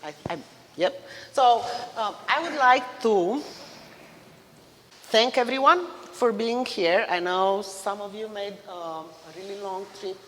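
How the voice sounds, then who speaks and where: Loud Romanian-accented woman giving a slow toast. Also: medium pitch, slight background noise. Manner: loud, laughs between lines, self-deprecating. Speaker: mother of the groom. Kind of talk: wedding toast speech. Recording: reverberant hall.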